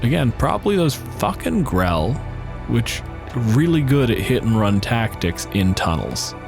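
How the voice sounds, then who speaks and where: A man's voice: medium pitch, sing-song, measured pace, creaky. A man working as game master, improvising narration in a casual, sweary way with vivid descriptions. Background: faint music.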